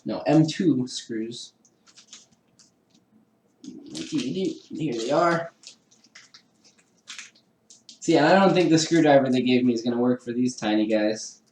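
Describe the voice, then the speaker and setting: A man's voice: mid-range voice, darkish tone, a little hiss or hum. Chatty man in an echoey room. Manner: chatty, self-deprecating, laughs often, talks to viewers. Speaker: livestreamer building a 3D printer kit. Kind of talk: casual live-stream chat while assembling. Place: home room with a garage downstairs.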